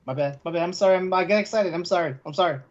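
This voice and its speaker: A man's voice: sits high, very fast. Man chatting on a slightly muffled mic. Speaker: podcast co-host. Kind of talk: casual podcast chat. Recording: dull, muffled podcast audio.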